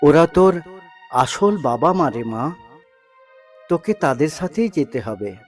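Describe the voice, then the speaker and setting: A man acts out a poor, devoted village father in an audio story, heartbroken and lonely. His voice is raised and slow, with pleading, drawn-out phrases. Faint music sits underneath. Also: fairly high pitch, sing-song. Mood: tender, sorrowful.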